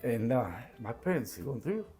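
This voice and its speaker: An older man from Calabria with a strong Italian accent, chatting while cooking, halting and repeating words, slipping into Italian. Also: medium pitch, very animated, a crowd in the background. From Calabria, Italy. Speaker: home cook. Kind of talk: casual chat while cooking.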